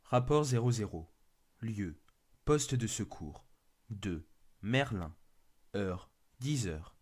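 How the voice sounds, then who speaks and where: A man's voice: on the low side, expressive, takes it slowly, darkish tone. A man narrating a scripted first-person horror story.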